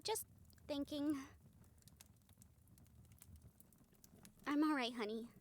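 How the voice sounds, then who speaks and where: A woman's voice: high voice, lightly acted. A woman playing a caring, teasing and driven strategist of a supernatural team in a conversational audio roleplay. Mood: gently concerned. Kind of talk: scripted audio roleplay acting.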